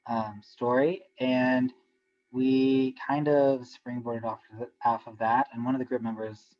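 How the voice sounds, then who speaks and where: A man reporting back from his discussion group on a video call, speaking haltingly with many fillers. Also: medium pitch. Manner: halting, many fillers, slow deliberate phrasing. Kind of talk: reporting back in an online seminar. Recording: dull lo-fi video call audio.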